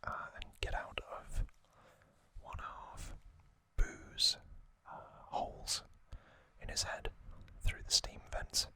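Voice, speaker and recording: A man whispering breathily as an ASMR host, telling a story in hushed, short phrases with pauses between them. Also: no room sound. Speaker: host of an ASMR trivia channel.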